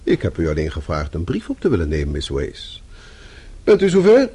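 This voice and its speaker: A middle-aged boss in a radio drama, formal and dryly cutting. His voice is soft-edged and husky, with intonation that swoops as he disapproves. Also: low-pitched, wildly swooping pitch, a little fast, exaggerated voice. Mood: curt, stern. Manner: formal, clipped, dryly sarcastic. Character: stern, sardonic middle-aged male boss, fatherly and disapproving. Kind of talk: radio play acting.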